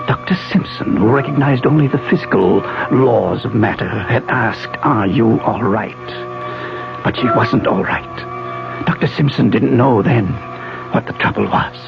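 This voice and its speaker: Creaky-voiced, secretive radio drama husband. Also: male voice, mid-range voice, unhurried. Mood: secretive, brooding. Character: obsessive secretive husband, a mind-experimenting scientist. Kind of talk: 1970s radio drama acting and narration. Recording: muffled 1970s radio broadcast, music behind.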